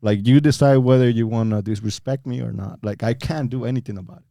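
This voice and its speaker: Podcast guest, young man, outspoken and emphatic, warm low timbre. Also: steady tone, quick pace, gravelly, dry recording, studio quality. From Mexico. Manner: blunt, swears freely, emphatic. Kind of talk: casual chat.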